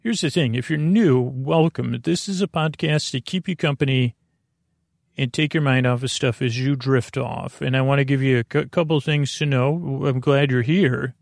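A man talks in a very creaky, fry-laden voice at a middling pitch, recorded dry and close. He hosts a bedtime podcast and meanders through his thoughts, halting, doubling back and repeating himself. His delivery is gently goofy, made to keep someone company as they drift off. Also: fairly even intonation, dry recording. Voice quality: creaky, gravelly voice. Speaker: podcast host. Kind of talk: rambling bedtime monologue to help listeners sleep.

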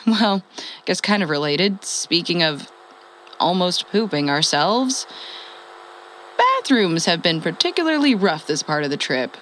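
A woman's voice: lowish voice, fairly bright tone. An actress playing a wry, rambling woman who survived the apocalypse and reports by radio in a comedic audio drama. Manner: rambling, hesitant, self-amused. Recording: clearly noisy ham radio transmission.

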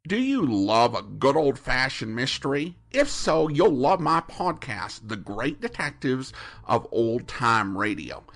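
A man's voice: mid-range voice, big pitch swings, unhurried, fairly bright tone. A man who hosts a podcast, reading a promotional spot addressed straight to the listener. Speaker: podcast host.

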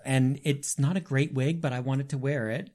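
A man's voice: medium pitch, steady tone, normal speed, darkish tone. A man who performs as a drag queen, chatting casually as a podcast guest in a big, self-mocking voice. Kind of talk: casual chat.

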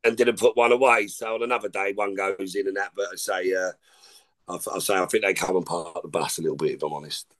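A man's voice: low-pitched, steady tone, fast talker, fairly bright tone. A middle-aged man, a podcast guest chatting in a loose, rambling way, often saying "like I say" and "listen". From England. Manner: rambling, informal, repeats "like I say" and "listen". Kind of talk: casual chat.

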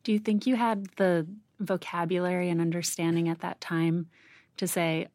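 A woman's voice: fairly low pitch, little pitch movement, moderate pace, a little mellow, no echo. A woman co-hosting a podcast, asking engaged, curious questions in casual conversation. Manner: engaged, inquisitive. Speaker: podcast co-host. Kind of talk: conversational interview.

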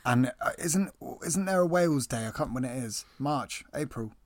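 A man's voice: medium pitch, animated, fairly quick, no echo. A young man co-hosting a podcast, enthusiastic and giggly, joking along. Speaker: podcast co-host. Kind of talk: casual chat.

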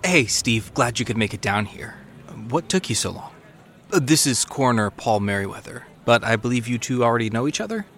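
A man's voice: mid-range voice, sing-song, fairly quick, a bit bright. A man playing a young, eager junior police detective in an audio drama. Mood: helpful. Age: young adult.

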